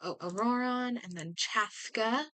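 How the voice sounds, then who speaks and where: A woman's voice: on the high side, unhurried, bright, forceful tone, no echo. A woman game streamer chatting casually as she plays, muttering and thinking aloud to herself. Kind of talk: casual livestream chat while playing a game.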